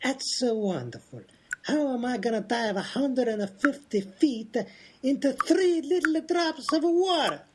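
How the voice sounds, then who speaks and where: An actor voicing an indignant, boastful Italian high diver in a radio comedy, with an Italian accent and insistent broken-English complaining. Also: male voice, very animated, a little slow, slight background noise, exaggerated voice. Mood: exasperated, complaining. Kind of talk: old-time radio comedy acting.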